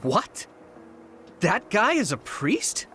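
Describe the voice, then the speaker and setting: An actor in an anime game dub playing an earnest, polite young rookie soldier. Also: male voice, high-pitched, wildly swooping pitch, extremely slow, bright, forceful tone, slight background noise, heavily acted. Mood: surprised, curious.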